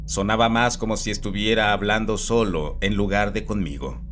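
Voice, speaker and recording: A man calmly reading a scripted first-person horror story, steady and measured. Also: lowish voice. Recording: soft background music.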